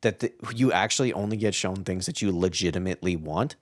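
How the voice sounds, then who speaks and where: A man's voice: low voice, fast talker, no room sound. A man co-hosting a casual podcast discussion, explaining his thoughts reflectively and often checking in with the listener. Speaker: podcast co-host.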